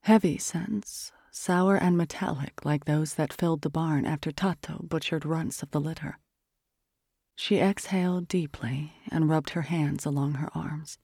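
A narrator reading a first-person literary story in a hushed, often whispered, measured manner. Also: mid-range voice, fairly even intonation, creaky, breathy edge, no room sound, clean studio sound. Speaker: audiobook narrator.

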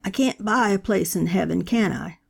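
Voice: mid-range voice, normal speed, creaky. A narrator voicing a fervent elderly male preacher who fires rhetorical questions at a funeral gathering. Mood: preaching. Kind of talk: audiobook character voice.

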